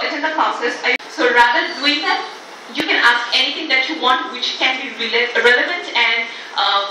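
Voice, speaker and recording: A young woman from Bangladesh giving a talk to a live audience, quick, chatty and self-deprecating. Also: sits high, sharp tone, a little hiss or hum. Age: young adult. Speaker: speaker at an event. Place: hall before a live audience. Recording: echoey hall from far off.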